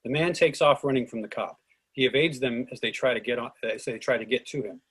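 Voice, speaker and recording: A man reading stage directions in an even, measured way for a script reading. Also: medium pitch, slightly rough.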